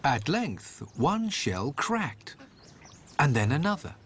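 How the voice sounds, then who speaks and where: A man's voice: on the high side, big pitch swings, takes it slowly, a bit bright, husky. A man telling a fairy tale as a scripted, theatrical storybook narration.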